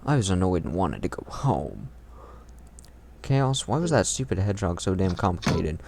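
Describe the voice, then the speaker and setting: A man's voice: low-pitched, sing-song, normal speed, creaky, a little mellow. A man reading fan fiction aloud in a mocking, irreverent way, tossing in crude comments of his own.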